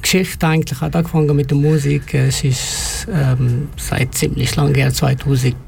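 A man from Tunisia, a rapper and music producer, guesting in a radio studio with a high voice and a relaxed way of talking. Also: normal speed, gravelly, a little hiss or hum. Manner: relaxed, switches languages.

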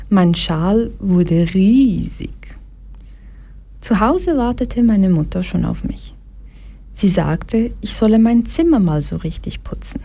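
Slow, soft-toned young woman speaker. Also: mid-range voice. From Brazil. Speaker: speaker giving a personal talk. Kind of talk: personal talk to an audience, reading from a diary.

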